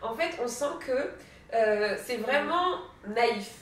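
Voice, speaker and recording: A woman's voice: fairly high pitch, lively intonation, slow, a bit bright. Distant echoey young woman chatting. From French Guiana. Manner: chatty, frequent hesitations. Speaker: association member in discussion. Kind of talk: casual conversation. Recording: echoey room, distant mic.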